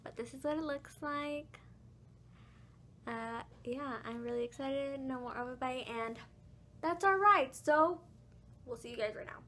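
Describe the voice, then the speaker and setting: A young woman with a raspy voice, chatting casually in a home vlog, apologetic and full of ums and likes. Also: high voice, measured pace, long pauses, sharp tone, slight background noise. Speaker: vlogger. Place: bedroom at home. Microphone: phone held at arm's length.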